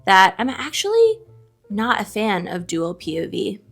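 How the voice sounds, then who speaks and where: A woman's voice: medium pitch, huge pitch swings, measured pace, creaky, a bit bright, slightly rough, soft background music. A woman co-hosting a casual book chat, candid and playfully dramatic in informal slang. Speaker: co-host of a book discussion video. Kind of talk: casual chat, trading confessed book opinions.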